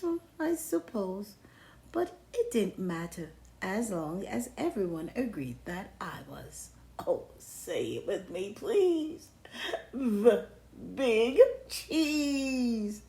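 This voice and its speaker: A woman's voice: mid-range voice, sing-song, takes it slowly, dark tone. Woman playing a boastful, swooping storybook big cheese. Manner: pompous, theatrical, self-admiring. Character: boastful, showy big cheese craving the spotlight. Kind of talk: dramatic picture-book read-aloud. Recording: roomy, echoing sound.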